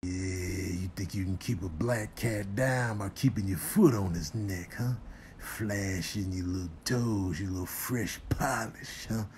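A man's voice: low-pitched, unhurried, a little mellow, a little hiss or hum, pitched below the performer's own voice. A man voicing a cocky, taunting animal tough guy in a comic video dub, muttering slangy taunts.

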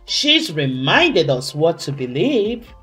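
A woman voicing Santa as a jolly, commanding old man in a children's storybook, booming and measured. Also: very animated, a bit bright, faint music behind, heavily acted. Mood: warm, relieved.

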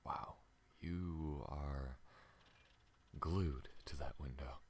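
A man voicing a gentle, shy, apologetic alien in an intimate ASMR roleplay, soft-spoken and hesitant, often slipping into a whisper. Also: very deep voice, very slow, exaggerated voice. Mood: wistful, curious.

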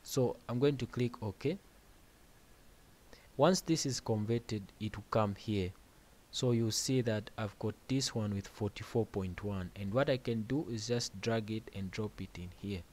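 A man hosting a music-production tutorial, explaining software steps plainly over a screen recording with casual asides. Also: lowish voice, unhurried.

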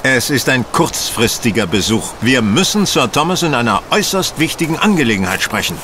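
A self-assured gentleman detective, played by a man in an audio drama. His medium-pitched voice is measured and courteous, with faint noise behind it. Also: sing-song, natural voice. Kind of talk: radio play acting.

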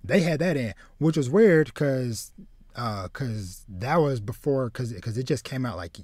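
A man in his thirties talks casually as a podcast host. He starts and restarts phrases, doubling up words as he goes. The sound is close and dry, with a middling pitch. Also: lively intonation, darkish tone, no echo. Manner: halting, repeats words as he starts. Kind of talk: casual chat about anime and manga. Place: small home room with shelves, video call.

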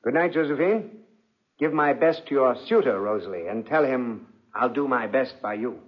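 Casting: a suspicious lordly husband, silkily polite and menacing, husky, in muffled vintage radio drama. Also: male voice, medium pitch, expressive. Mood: smooth, condescending. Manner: silky, deliberate, insinuating politeness. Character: suave, suspicious, menacing husband, an aristocratic lord. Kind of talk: 1940s radio acting. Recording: dull, muffled 1940s radio recording.

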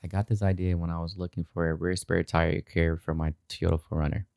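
A man in his thirties narrates a DIY how-to casually. His voice is very deep and mellow, and the sound is dry and close. He explains things in an informal way. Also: flat delivery, brisk, gravelly, no echo. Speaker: DIY video host.

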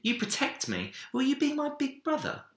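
A man voicing an eager, brave, affectionate little boy in a storybook, high-pitched and emphatic. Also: huge pitch swings, normal speed, fairly bright tone, heavily acted. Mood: eager, friendly. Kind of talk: children's storybook read-aloud. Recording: roomy echo.

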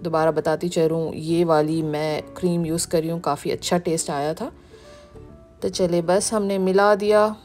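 A woman, narrating a cooking video as the channel's host, explaining each step in a relaxed way. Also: on the low side, normal speed. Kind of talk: recipe voice-over narration. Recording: background music.

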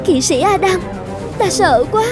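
A woman's voice: very high voice, expressive, unhurried, music in the background. A woman playing a spirited, naive young princess with a girlish, emotive manner in a children's cartoon. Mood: timid. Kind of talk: dubbed children's cartoon acting.

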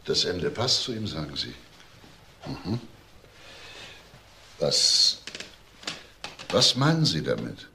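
A low-voiced detective inspector in a German crime radio play. His delivery is animated and forceful, yet he hesitates and repeats himself as he politely probes. There is faint noise behind him. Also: slow pace, vocal fry, long pauses, exaggerated voice. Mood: questioning, polite. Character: probing, world-weary male police detective inspector.

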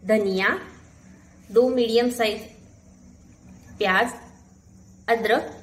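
Slow, calm woman reading a recipe. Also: on the high side. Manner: calm, lists ingredients one by one. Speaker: cooking channel host. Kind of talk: slow step-by-step recipe narration. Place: home kitchen, echoey. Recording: echoey room with faint kitchen noise.

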